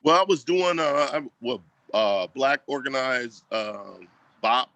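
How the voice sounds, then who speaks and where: A man's voice: mid-range voice, animated, takes it slowly, sharp tone, dry recording. An American man speaking casually in an interview as a school equity advocate, with an emphatic, colloquial manner that leans on repetition. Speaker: school district equity staff member and community advocate.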